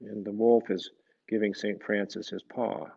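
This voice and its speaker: A man reading a picture book to children, gently explaining it and often tagging questions onto his remarks. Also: fairly low pitch, level tone, normal speed, gravelly, husky, highs cut off. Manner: gentle, explanatory, asks the listeners tag questions. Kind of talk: storybook read-aloud with conversational asides.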